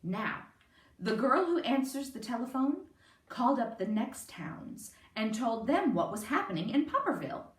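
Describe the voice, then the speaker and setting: A woman reading a children's picture book aloud in an expressive, emphatic way. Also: a little high. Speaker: storyteller. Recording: roomy, distant microphone.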